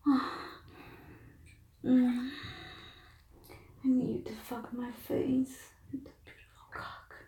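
Breathy whispering woman in echoey bathroom. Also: high-pitched, little pitch movement, slow, pauses often, warm tone, slight background noise. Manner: breathy, often whispering, moaning. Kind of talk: intimate erotic audio monologue. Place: bathtub in a bathroom. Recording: echoey bathroom, distant mic.

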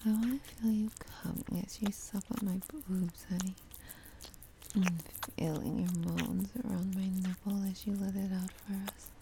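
A woman whispering breathily in sexually explicit adult ASMR audio, drawn-out and soothing, with soft hums. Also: mid-range voice, steady tone, background noise.

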